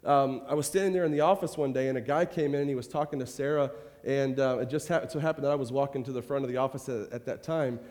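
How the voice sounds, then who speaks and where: A man's voice: mid-range voice, quick pace. A man speaking as a pastor to his congregation, chatty and rambling with many fillers, fighting a cold and coughing now and then. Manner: warm rambling talk with many fillers and repeated "I tell you". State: has a cold, occasional cough. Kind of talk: informal church address. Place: church sanctuary. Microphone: handheld or stage microphone. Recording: reverberant church hall.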